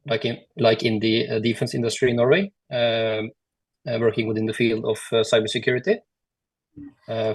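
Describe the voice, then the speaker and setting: A man in his twenties introducing himself on a video call, very hesitant and halting. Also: lowish voice, a little mellow, no echo. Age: 20s. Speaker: software engineer, youth volunteer. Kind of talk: hesitant self-introduction in an online meeting.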